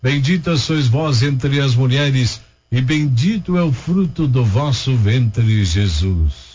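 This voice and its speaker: A man's voice: medium pitch, slow pace, breathy edge. A Brazilian man hosting a radio prayer show, steadily reading out listeners' names and towns and leading the prayers. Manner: steady, rhythmic listing of names and places. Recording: dull lo-fi radio broadcast.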